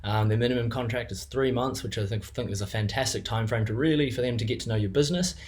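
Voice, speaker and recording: A man's voice: mid-range voice, fast, darkish tone. Hesitant New Zealand man giving a testimonial. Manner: hesitant, many fillers and restarts. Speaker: business co-owner giving a client testimonial. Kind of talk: unscripted testimonial.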